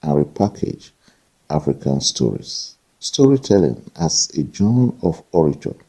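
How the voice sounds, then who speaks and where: A man reading a formal prepared statement as an academic contributor, in measured, bookish language. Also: a bass voice, very animated, dark tone, husky, low-quality recording.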